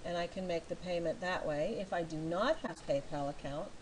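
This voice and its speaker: A middle-aged American woman from California, a teacher talking slowly and patiently through each step of filling in a form online. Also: fairly low pitch, noisy background. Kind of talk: screen walkthrough tutorial.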